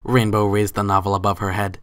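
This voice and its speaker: A man's voice: on the low side, little pitch movement, a little fast, a bit bright, no echo. A man giving a scripted dramatic reading as the narrator of a story.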